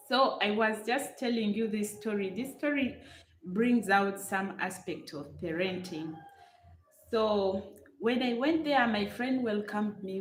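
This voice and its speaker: A middle-aged woman with a Ugandan accent talks at an unhurried, chatty pace, repeating herself and speaking straight to her viewers. She is recorded in a room with a noticeable echo and a faint hiss underneath. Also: medium pitch. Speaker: vlogger. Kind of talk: live-stream storytelling with chat replies.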